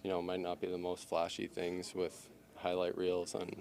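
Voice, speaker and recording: A hockey player at a press scrum, answering in halting speech full of fillers and repeated words. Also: male voice, low-pitched, completely flat delivery, darkish tone. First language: English.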